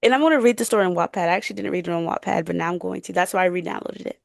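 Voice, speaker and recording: Young woman podcast host, creaky voice. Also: fairly low pitch, very fast, no room sound, clean studio sound. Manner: chatty, informal, slangy. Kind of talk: casual podcast chat.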